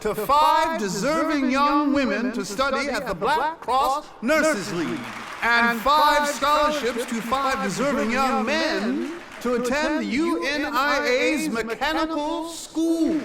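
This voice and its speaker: A high-voiced, grand parade announcer. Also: male voice, slow pace, hardly any pauses, echoey room, crowd noise. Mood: grand, celebratory. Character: proud parade announcer addressing a crowd, formal and grand. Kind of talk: formal public announcement, period drama.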